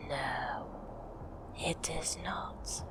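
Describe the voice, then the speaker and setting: An actress playing a mysterious, cold woman guide in an audio drama, speaking in a terse, cryptic, breathy whisper. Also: sits low, very slow, full character voice. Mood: eerie, cold.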